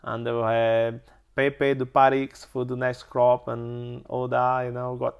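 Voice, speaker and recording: Gravelly man. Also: medium pitch, monotone, measured pace, dull audio. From Brazil. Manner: terse, plain answers in simple English. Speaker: interviewee.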